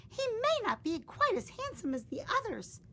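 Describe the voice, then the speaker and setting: Storybook mother duck, high and protective, read by a woman. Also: huge pitch swings, moderate pace, bright, forceful tone, a little hiss or hum, full character voice. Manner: high, fierce when defending her young.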